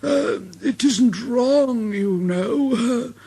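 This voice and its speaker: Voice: mid-range voice, very slow, vocal fry, warm tone, slightly rough, pitched above the performer's own voice, exaggerated voice. A man voicing a proud, grumpy male steam engine in a children's story, disapproving and plaintive. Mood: quiet disapproval.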